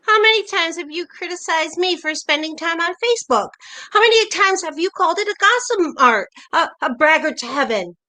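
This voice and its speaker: A woman's voice: extremely high pitch, lively intonation, fairly quick, sharp tone, exaggerated voice. A woman acting an exasperated, teasing long-married wife in an amateur comedy play reading, incredulous and needling. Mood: incredulous, accusing.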